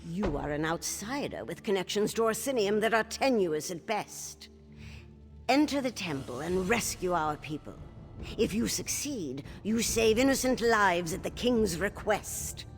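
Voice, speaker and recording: An actress playing a shrewd, calculating older orc queen mother in a video game, measured and authoritative. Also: mid-range voice, lively intonation, soft background music. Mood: cool, commanding. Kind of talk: video game character acting.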